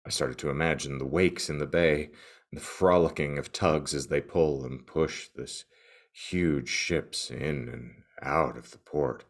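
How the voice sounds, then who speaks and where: A man hosting a podcast, reading comic text aloud in a mock-serious, theatrical and deliberate deadpan. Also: very deep voice, creaky. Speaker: podcast host.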